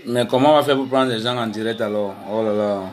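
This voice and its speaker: A man's voice: on the low side, fairly even intonation, quick pace, background noise. A middle-aged man from Cameroon hosting a live stream, ranting angrily and emphatically at his critics, then fumbling and muttering. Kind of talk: heated live-stream rant to viewers.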